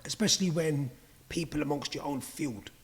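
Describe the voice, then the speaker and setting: A man co-hosting a podcast, asking probing questions in an animated, admiring way. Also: a little high, moderate pace, breathy edge. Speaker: podcast co-host. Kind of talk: casual interview chat.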